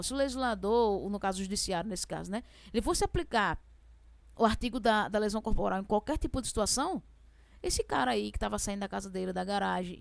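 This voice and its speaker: A young woman with a strong regional accent teaching law for civil service exams, fast-talking and chatty, asking questions and answering them herself. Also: on the high side, dry recording. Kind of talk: exam prep lesson.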